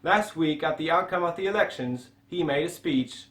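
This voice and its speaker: A man's voice: fairly high pitch, moderate pace, a bit bright, echoey room. A young man reading a scripted news report in English as the anchor. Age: young adult.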